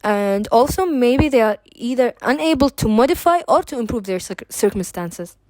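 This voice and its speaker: A woman's voice: a little high, expressive, moderate pace, darkish tone, dry recording. A young woman from Kuwait, a student presenting at a seminar, reading prepared remarks with small restarts.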